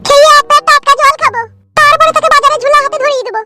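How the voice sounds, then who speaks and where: A nosy older cartoon auntie chatters out frank advice in a rural West Bengal accent. The voice is pitched up extremely high and tiny, over prominent music. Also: male voice, fairly even intonation, racing. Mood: chatty, confiding. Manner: chattering, preachy, earthy. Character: bossy older village aunt, nosy, frank, full of advice. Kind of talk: comic cartoon dialogue.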